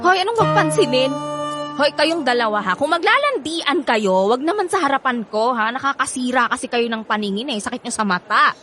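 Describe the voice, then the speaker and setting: High-pitched, sassy, bitter young Filipina. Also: female voice, expressive, brisk, over music. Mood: confrontational. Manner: sassy, blunt, slangy. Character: bitter, sharp-tongued, hot-headed young woman, easily irritated. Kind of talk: Filipino radio drama acting.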